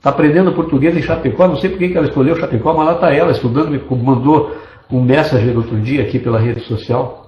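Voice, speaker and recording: A man's voice: mid-range voice, fast, roomy sound, lo-fi sound. A man from Brazil giving an online business presentation as a network marketing presenter, persuasive and enthusiastic, stacking lists of points. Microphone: desktop computer mic.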